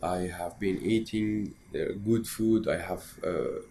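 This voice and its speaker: A man from Syria whose first language is Kurdish, answering interview questions thoughtfully with many pauses and repeats. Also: low voice, warm tone. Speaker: actor guest. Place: festival interview room.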